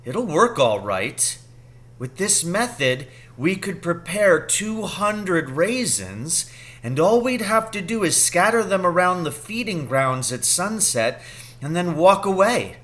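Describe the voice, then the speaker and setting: A man voicing an excitable, dreamy father in a storybook, speaking in fond exclamations. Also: sits high, slow pace, pitched above the performer's own voice, exaggerated voice. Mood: excited, dreamy. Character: excitable, dreamy, affectionate father scheming at poaching.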